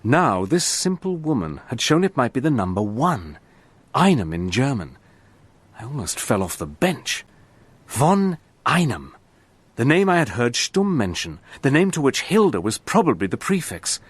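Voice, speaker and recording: A man's voice: mid-range voice, very animated, unhurried, breathy edge, no echo. A man giving earnest, reflective first-person narration for a radio drama.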